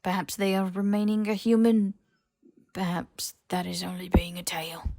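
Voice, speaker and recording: A woman voicing a disdainful old male house elf servant in an audiobook, talking slowly and servilely with odd grammar. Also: on the low side, level tone, dark tone, no room sound. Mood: grudging, solemn. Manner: slow, servile third-person speech with odd grammar.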